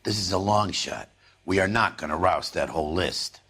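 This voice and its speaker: An American man playing a gruff, world-weary police detective partner in a video game, blunt and sardonic, with loud music behind him. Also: sits low, normal speed, exaggerated voice. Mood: dry, blunt. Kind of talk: video game dramatic acting.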